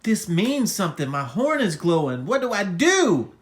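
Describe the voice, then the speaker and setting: A woman voicing an excitable, brave male horned creature in a children's story, with an eager, exclamatory delivery. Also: medium pitch, normal speed, pitched above the performer's own voice, natural voice. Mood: puzzled.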